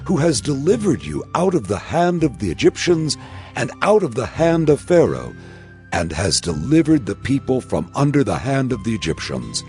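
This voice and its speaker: A man's voice: medium pitch, huge pitch swings, normal speed, a little mellow, faint music behind. A man playing a reverent elder priest and father-in-law in a dramatized scripture reading, stately and declamatory.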